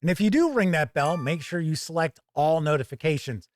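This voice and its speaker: Higher-pitched man, conversational reviewer. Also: lively intonation, a little fast. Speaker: host of a tabletop game review channel. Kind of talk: conversational video product review.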